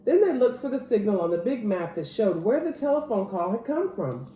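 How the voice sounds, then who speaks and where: A woman reads a picture book aloud to children with very animated intonation. Her voice is fairly high and a little mellow, recorded in an echoey room. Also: brisk. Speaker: storyteller reading aloud. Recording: roomy echo, clear background noise.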